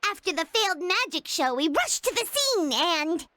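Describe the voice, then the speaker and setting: A tiny squeaky boastful companion creature. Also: female voice, moderate pace, noisy background. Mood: eager, boastful. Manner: boastful, excitable, talks about herself in third person. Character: tiny bubbly female floating companion creature. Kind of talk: game cutscene voice acting.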